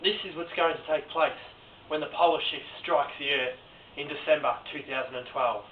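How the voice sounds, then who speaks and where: A man's voice: fairly high pitch, steady tone, fairly quick, heavy background noise. A man giving a mock-serious presentation in an amateur video. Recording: thin phone-like lo-fi sound.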